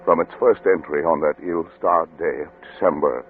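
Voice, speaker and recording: A man's voice: very deep voice, fairly even intonation, background noise. An actor playing a doomed scientist solemnly narrating his last casebook entry in an old-time radio suspense drama. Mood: grave, resigned. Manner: solemn, measured delivery. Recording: old radio recording, narrow sound, music behind.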